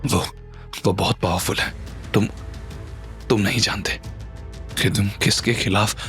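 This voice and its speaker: A man's voice: lowish voice, fairly even intonation, measured pace, a bit bright. A man voicing a panicked, dying villain in an audio drama, desperate and faltering. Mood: dying, fearful. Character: panicked dying male villain, fearful and evasive. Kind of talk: Hindi audio drama acting. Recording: music under the voice.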